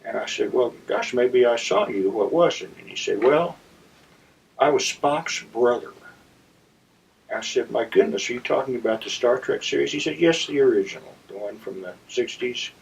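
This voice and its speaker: A man hosting a story segment, telling a personal anecdote to viewers in a deliberate, theatrical raconteur's manner with dramatic pauses. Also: medium pitch, animated, creaky, slight background noise. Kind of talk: anecdotal monologue addressed to viewers. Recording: slightly distant, dull lo-fi sound.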